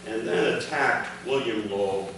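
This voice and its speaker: Echoey, distant, low-voiced man reading aloud. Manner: measured public delivery in short, separated phrases. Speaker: reader of his father's written memoir at a commemoration. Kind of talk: reading a prepared first-person account aloud to an audience. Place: large echoey room at an event, recorded from afar. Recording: echoey room, distant microphone, clear background noise.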